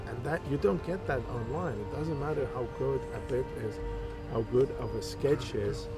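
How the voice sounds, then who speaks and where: A man's voice: mid-range voice, normal speed. Man in his early forties. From Estonia. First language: Estonian. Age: early 40s. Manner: animated storyteller, acts out quoted dialogue. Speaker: stand-up comedian guest. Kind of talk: casual interview. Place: park outdoors. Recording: clear background music.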